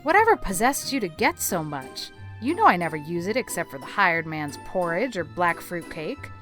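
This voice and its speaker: An actress playing a stern, practical older spinster who scolds sharply. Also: female voice, fairly low pitch, sing-song, normal speed, a bit bright, soft background music. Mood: exasperated.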